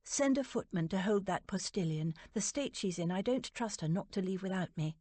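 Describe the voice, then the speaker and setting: A woman voicing a caring, decisive young English lady with a brisk, practical manner in a storybook reading. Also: mid-range voice, expressive, darkish tone, no echo, natural voice.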